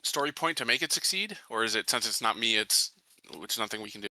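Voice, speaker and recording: Male gamer, sharp forceful tone, animated pitch, casual questioning table talk. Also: fast, no echo, low-quality recording. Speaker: player in a tabletop game.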